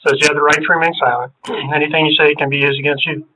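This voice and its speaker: A man's voice: flat delivery, fast talker, bright, forceful tone, a little hiss or hum. A man working as a police detective, questioning someone in a casual, friendly way in a police interview room. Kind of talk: interrogation small talk. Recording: tinny low-fidelity room recording.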